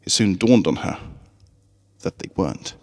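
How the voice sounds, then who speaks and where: Guy reading a story aloud, really animated voice, big echo on it. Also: low-pitched, measured pace. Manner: expressive. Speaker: fan fiction reader. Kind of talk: dramatic scripted story narration.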